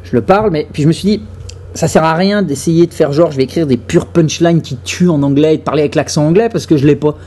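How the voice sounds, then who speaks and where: A man from France, a guest comedian, chatting casually in an animated way, with many hesitations and restarts. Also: on the high side, quick pace, slightly rough. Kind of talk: casual chat. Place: festival backstage room.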